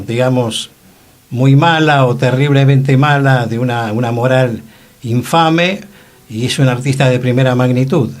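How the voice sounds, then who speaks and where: Colloquial Argentine man commenting on radio. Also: medium pitch. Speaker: radio show panelist. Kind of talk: conversational commentary.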